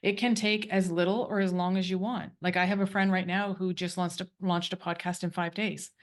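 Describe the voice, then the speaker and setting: A woman's voice: on the low side, steady tone, a little fast. Bubbly talkative woman hosting a call. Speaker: course host. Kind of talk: casual group coaching call.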